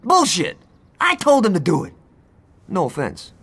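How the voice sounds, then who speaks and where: An actor playing a cocky, hot-tempered young gang lieutenant in a video game, brash and sarcastic, with a lot of swearing. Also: male voice, high-pitched, huge pitch swings, unhurried, a bit bright, breathy edge, heavily acted. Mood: furious. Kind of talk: video game cutscene acting.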